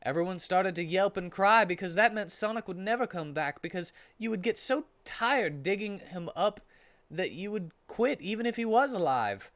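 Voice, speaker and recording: A man's voice: sits high, lively intonation, normal speed, a bit bright. A man reading a silly story aloud in a theatrical, mock-serious comic style.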